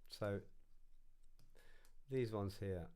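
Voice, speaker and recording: A man's voice: fairly low pitch, drawn-out words, dark tone, clean tone. A man narrating an informal music production tutorial, casually thinking aloud as he works.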